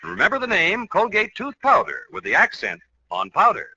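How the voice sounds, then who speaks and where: Very bright-voiced vintage radio announcer, man. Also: high-pitched, huge pitch swings, normal speed, slightly rough, no room sound. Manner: polished, persuasive, emphatic. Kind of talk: 1940s radio advertising pitch. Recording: faintly noisy, narrow old radio recording.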